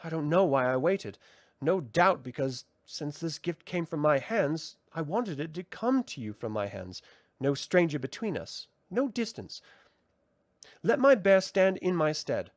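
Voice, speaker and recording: A man voicing a small male Nelwyn wizard who speaks in an earnest, gentle manner. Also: a little high, sing-song, measured pace, dry recording, studio quality. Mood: tender.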